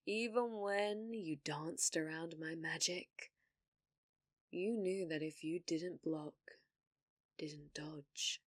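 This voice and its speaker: A woman's voice: low-pitched, dry recording, clean studio sound. A British woman playing a cruel, domineering villainess in an ASMR fantasy roleplay, taunting theatrically and slipping into whispers. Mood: quiet menace. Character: cruel, domineering female villainess sorceress, gloating and possessive.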